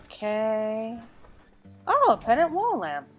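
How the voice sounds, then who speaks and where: A woman's voice: a little high, huge pitch swings, slow pace. Woman gamer chatting over game music. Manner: chatty, reacts aloud to the game. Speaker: gamer recording a playthrough. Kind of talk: casual game commentary, reading some dialogue aloud.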